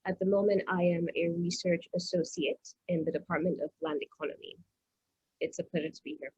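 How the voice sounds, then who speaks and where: A woman from Nigeria speaks on a video call panel, measured and formal. Her voice is husky and fairly low, and the audio is dry and dull, like a lo-fi call. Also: steady tone, darkish tone, dry recording. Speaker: research associate, panelist.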